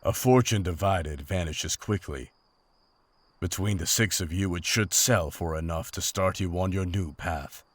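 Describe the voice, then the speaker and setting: Male actor as stern monster hunter, deep voice, dry recording, formal, restrained, honorable, fantasy drama. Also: no room sound. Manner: formal, measured, serious wording. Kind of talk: fantasy audio drama acting.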